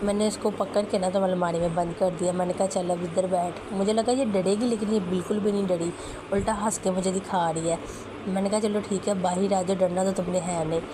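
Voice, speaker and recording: A young woman with a sore, hoarse throat, chatting fondly as a family vlogger about her little daughter, often clearing her throat. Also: mid-range voice, fairly even intonation, brisk. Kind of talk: casual voice-over narration. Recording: voice with clear background noise.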